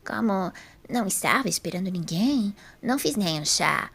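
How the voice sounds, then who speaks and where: A woman playing a sweet, frail, apologetic old lady of about seventy with a hoarse voice in an audio drama. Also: mid-range voice, expressive, moderate pace, heavily acted. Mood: apologetic, hospitable. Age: older. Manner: sweet, gentle.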